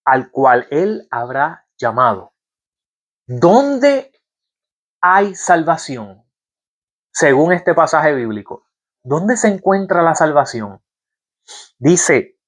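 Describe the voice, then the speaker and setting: A man giving a Bible-teaching sermon, reading verses aloud and asking his listeners rhetorical questions. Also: medium pitch. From Puerto Rico. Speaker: preacher.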